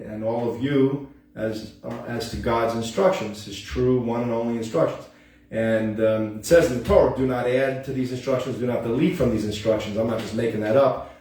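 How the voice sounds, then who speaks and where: A man's voice: mid-range voice, darkish tone. Rambling man in his fifties, echoey room. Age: 50s. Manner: earnest, halting, with many ums and restarts. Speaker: host of a home music show. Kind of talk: rambling casual monologue. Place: room at home, at a piano. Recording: echoey room, mic at a distance.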